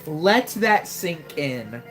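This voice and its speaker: Young woman, game streamer, unhurried delivery, deep voice, dry jokey asides. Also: sing-song, fairly bright tone, soft background music. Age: 20s. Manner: wry, joking, exclaiming to herself. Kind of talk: casual live gameplay commentary.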